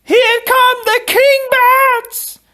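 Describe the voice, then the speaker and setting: A man's voice: very high-pitched, level tone, very slow, pushed and bright, voice pushed up, heavily acted. A man voicing an earnest, stubborn giant with a childlike streak, in a storybook reading. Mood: terrified. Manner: panicked screaming when afraid.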